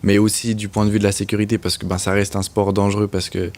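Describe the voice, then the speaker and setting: A young man with a Swiss French accent answering interview questions in an earnest, steady way, using lots of little fillers. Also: fairly low pitch, fast talker. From French-speaking Switzerland. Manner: earnest, steady, with frequent "ben" and "on va dire". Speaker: motorcycle racer guest.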